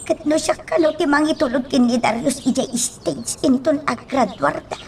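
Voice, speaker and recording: A woman's voice: sits high, moderate pace, creaky, a little hiss or hum. A woman acting a young female friend in a radio drama conversation. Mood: coy.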